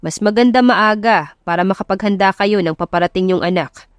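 A woman's voice: medium pitch, a little fast, no room sound, studio quality, lightly acted. Woman voicing an insistent middle-aged Filipino father. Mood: urging. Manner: urging, practical. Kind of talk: audiobook character acting.

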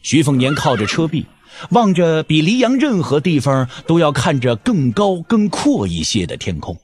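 A man narrating an audio drama with dramatic, expressive scripted storytelling. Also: on the high side, moderate pace, slightly rough.

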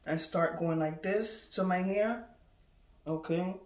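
A woman's voice: fairly low pitch, takes it slowly, darkish tone. A woman in her thirties chatting casually to a phone camera at arm's length, rambling with lots of fillers. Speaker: vlogger. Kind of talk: casual vlog chat. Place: bedroom, then a moving car. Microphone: handheld phone camera at arm's length.

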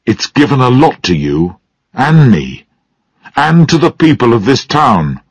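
A man's voice: medium pitch, very animated, a little slow, slightly rough, no echo, pitched above the performer's own voice, full character voice. A man voicing a tired, sad older father, a biologist on the defensive, in an audiobook. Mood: insistent, irritated. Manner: weary, defensive, turning angry. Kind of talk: audiobook character dialogue.

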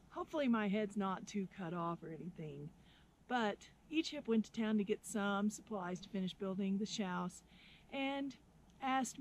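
A middle-aged woman, a history teacher, chatting casually and laughing easily while filming herself outdoors at arm's length. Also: medium pitch. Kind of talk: casual outdoor vlog chat. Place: outdoors at a windy off-grid camp. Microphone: camera at arm's length.

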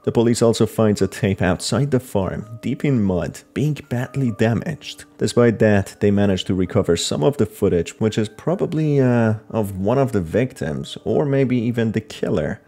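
A man's voice: on the low side, expressive, moderate pace, few pauses, dark tone. A man narrating a scripted crime horror story recap.

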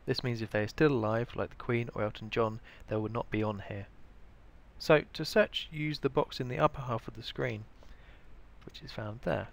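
A man's voice: mid-range voice, steady tone, normal speed, creaky. A British man giving scripted instructional narration as the presenter of a training tutorial. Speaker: information librarian, tutorial presenter.

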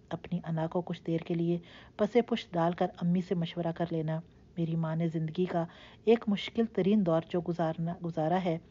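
A woman's voice: fairly low pitch, warm tone, dull audio, natural voice. A woman voicing an earnest young woman giving caring, coaxing advice.

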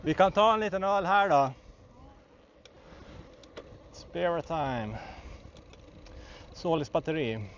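A man's voice: on the high side, long pauses, highs cut off, slight background noise. A man, casually narrating a cycling trip outdoors and pointing out sights along the road as he rides. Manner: relaxed, switches between Swedish and English, points out sights along the route. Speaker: vlogger and tour guide. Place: outdoors on a bicycle along country roads.

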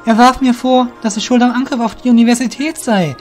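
A man's voice: extremely high pitch, fairly even intonation, brisk, a little mellow, faint music behind, voice pushed up. A man voicing an anxious, defensive young thief in an earnest, emphatic way for an audio drama. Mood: agitated. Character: anxious, defensive young male shadow-guild thief, indignant.

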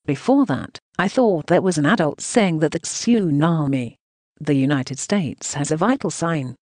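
Choppy synthetic news narrator, lively pitch. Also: unhurried, no echo, clean studio sound. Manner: choppy, reads punctuation marks aloud. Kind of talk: synthetic voice reading translated news text.

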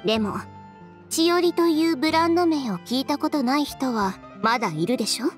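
An actress playing a confident, frank young fashion designer in a brisk, matter-of-fact way for a Japanese anime-style game. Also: female voice, high voice, expressive, soft background music. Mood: businesslike, frank.

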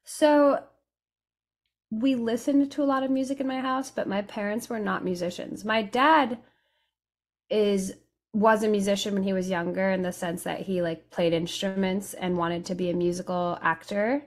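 A young woman from Italy, a guest musician, talking casually in an interview over a video call, relaxed and thoughtful, with pauses and fillers. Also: medium pitch. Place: home room with studio mic and headphones. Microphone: studio condenser mic on video call.

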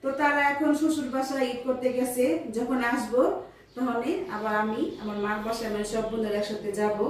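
A middle-aged woman with a Bangladeshi accent, chatting casually as a mother vlogging at home. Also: on the high side. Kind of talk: casual home vlog chat. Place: indoors at home. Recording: echoey room, distant mic, faint noise.

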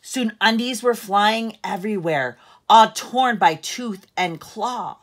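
A middle-aged woman reading a picture book aloud to children like a teacher, with deliberate storyteller phrasing and strong emphasis. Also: mid-range voice. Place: living room at home, seated in an armchair.

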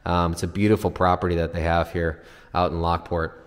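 A man's voice: low-pitched, flat delivery, brisk. A man giving a casual, unscripted, explanatory house tour as a home builder's representative. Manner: informal, explanatory. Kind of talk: casual unscripted walkthrough narration. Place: empty new house, indoors. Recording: echoey empty house interior, long reverb.

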